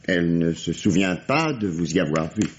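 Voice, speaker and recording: Middle-aged inspector, low voice, swooping sarcastic delivery, theatrical, authoritative, vintage radio crime drama. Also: male voice, lively intonation, moderate pace. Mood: stern. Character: gruff, sardonic middle-aged police inspector questioning a suspect. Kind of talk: 1950s French radio drama acting. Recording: dull, lo-fi vintage radio recording.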